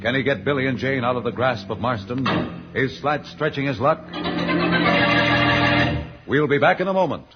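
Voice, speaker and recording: A man's voice: mid-range voice, moderate pace, pauses often. A man narrating a vintage radio serial as its announcer, dramatic and suspenseful. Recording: muffled vintage radio recording. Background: loud dramatic music.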